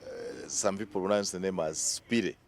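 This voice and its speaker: A man's voice: sits low, normal speed, creaky. A Ugandan man hosting an interview, asking probing questions and repeating the guest's answers back. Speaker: host. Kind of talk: conversational interview.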